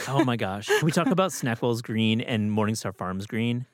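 A man's voice: mid-range voice, big pitch swings, moderate pace, no room sound, studio quality. A man hosting a podcast, interviewing in an informal, engaged, conversational way.

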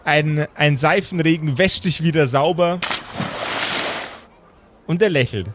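Slow, theatrical male game master. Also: on the high side, noisy background. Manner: theatrical, pausing for effect. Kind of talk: improvised pen and paper storytelling.

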